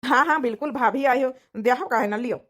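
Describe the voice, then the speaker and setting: A woman doing a high-voiced Awadhi village son. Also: brisk, a bit bright. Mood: cheerful. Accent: Awadhi, rural north India. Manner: coaxing, repetitive. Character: doting, reassuring young village son and groom. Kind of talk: cartoon comedy dialogue.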